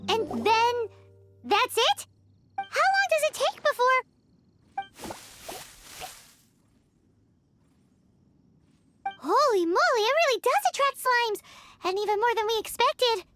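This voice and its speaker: Super high squeaky little fairy girl, cheeky n excitable, game character, music behind. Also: a little slow, long pauses, exaggerated voice. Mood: amazed, eager. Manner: excitable, refers to self in third person. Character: tiny cheeky childlike floating fairy companion girl. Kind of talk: video game character acting.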